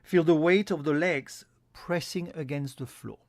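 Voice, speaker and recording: A man giving a guided yoga nidra meditation as an instructor, in a calm, soothing, instructional manner. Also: a little high, a little slow, no room sound.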